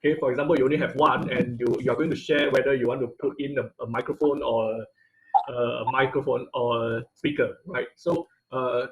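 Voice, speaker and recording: Guy teaching a class over a video call, lots of um and restarts, average pitch. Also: steady tone, brisk, rough recording. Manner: explanatory, many fillers and restarts. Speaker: instructor. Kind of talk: online class tutorial. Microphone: computer mic on video call.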